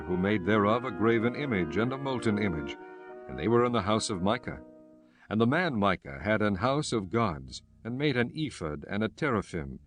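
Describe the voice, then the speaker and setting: A man giving a scripted narration of scripture as narrator of an audio drama. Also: on the low side.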